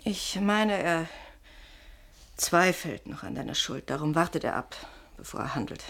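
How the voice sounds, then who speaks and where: A shrewd woman in a radio drama, her pitch moving freely up and down. She is insistent and persuasive, goading with pointed irony. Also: lively intonation, measured pace, vocal fry, a bit bright, full character voice. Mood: wary, explaining. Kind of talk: German radio play acting.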